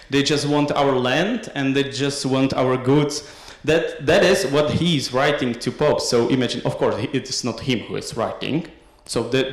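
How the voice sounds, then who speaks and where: Young man, Slovak accent, slow, halting conference talk, full of pauses, echoey room. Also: medium pitch. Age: young adult. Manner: hesitant, many filled pauses and restarts. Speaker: conference presenter. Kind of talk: academic lecture.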